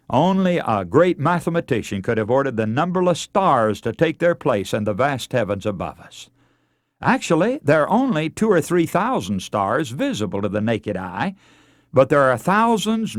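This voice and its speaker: Animated radio preacher, a man. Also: medium pitch, no echo, studio quality. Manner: earnest, emphatic, deliberate enunciation. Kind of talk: radio sermon, oratorical lecture with scripture readings.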